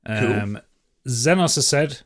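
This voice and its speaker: A man's voice: medium pitch, expressive, slow pace. A man co-hosting a casual podcast, reading out messages and chatting with dry humour and some swearing. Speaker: podcast co-host. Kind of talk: casual chat, reading out listener feedback.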